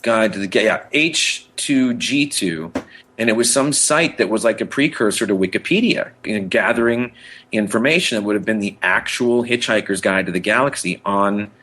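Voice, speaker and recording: A man with a fairly low voice chats casually as a podcast co-host. He is enthusiastic about pop culture and rambles, often breaking into his own sentences. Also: normal speed, bright, forceful tone.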